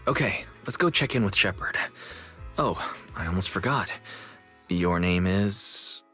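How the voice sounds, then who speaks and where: Bright-voiced, easygoing young guy. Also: low voice, expressive, unhurried, exaggerated voice. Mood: friendly, curious. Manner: polite, conversational. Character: kind, earnest young male shopkeeper and secret guide, easygoing. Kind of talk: video game voice acting. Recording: music under the voice.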